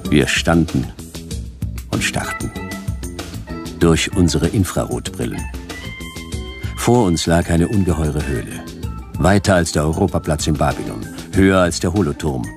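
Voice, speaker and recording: An actor playing a laconic, weary hard-boiled detective, narrating and speaking in terse deadpan in a radio play. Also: male voice, very deep voice, measured pace, long pauses, exaggerated voice. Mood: tense, awed. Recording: radio play over loud backing music.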